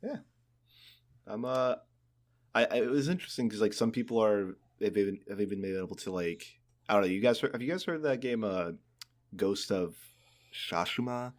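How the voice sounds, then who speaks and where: Very animated man chatting about games. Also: fairly low pitch, normal speed. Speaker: podcast co-host. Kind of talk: casual gaming chat.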